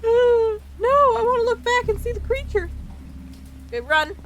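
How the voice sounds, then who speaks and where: A woman's voice: extremely high pitch, animated, unhurried, slight background noise. A woman game streamer giving casual let's play commentary, with game music behind her.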